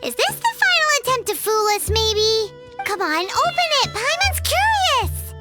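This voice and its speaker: A woman voicing a tiny, bubbly female fairy companion in a video game, with a high, childlike, squeaky voice and a curious, excitable way of talking. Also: over loud music, exaggerated voice. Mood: chirpy. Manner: bubbly, curious, quick to exclaim.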